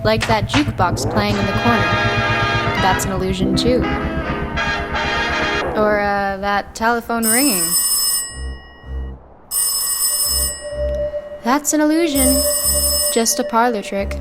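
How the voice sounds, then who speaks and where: A woman's voice: medium pitch, a little slow, pauses often, lightly acted. A woman playing a smug Martian businessman. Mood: teasing. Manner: sly, drawling, teasing. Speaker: student radio actor. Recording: music playing loud behind.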